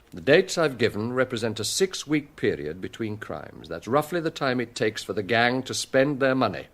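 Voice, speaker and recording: A relentless police detective in a radio drama. His pitch is middling but swings widely as he presses on, deliberate and sardonic, with a hard edge. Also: fairly bright tone. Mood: insistent. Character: relentless, hard-edged male police detective interrogating a suspect.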